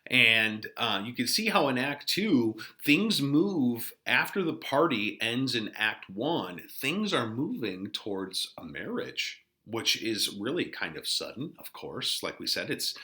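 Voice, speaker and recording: A man's voice: lowish voice, sharp tone. A man teaching literature, giving informal explanatory commentary and putting things in everyday words.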